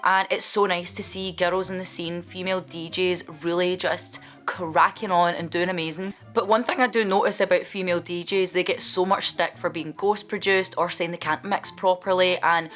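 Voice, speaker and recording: A woman's voice: lowish voice, steady tone, moderate pace, few pauses, a bit bright, soft background music. A woman, vlogging in a chatty, candid way as she answers viewer questions, with playful asides. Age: 20s.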